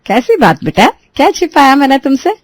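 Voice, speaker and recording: A middle-aged mother-in-law, soft and coaxing, who flusters and pleads when cornered, as a woman storyteller voices her. Her voice sits near the narrator's own range, heard dry and close. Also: sits high, very animated, fairly quick, no echo, pitched above the performer's own voice. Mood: flustered.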